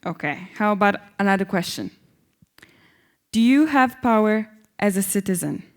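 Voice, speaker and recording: Young woman reading a play part, hopeful independent iranian citizen, medium pitch. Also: expressive, normal speed, lightly acted. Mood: earnest. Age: young adult. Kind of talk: staged reading of a short play.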